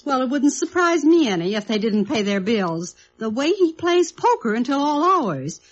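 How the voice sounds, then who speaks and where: A woman's voice: high voice, big pitch swings, moderate pace, highs cut off. A woman playing a blunt, gossipy, disapproving neighbour in a mid-century radio drama. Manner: blunt, chatty, complaining.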